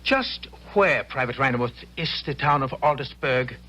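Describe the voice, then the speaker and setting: An actor playing a stern, suspicious and zealous young Hessian lieutenant in a radio drama, clipped and formal. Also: male voice, mid-range voice, animated, normal speed, bright, forceful tone. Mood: accusing. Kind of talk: old-time radio drama acting.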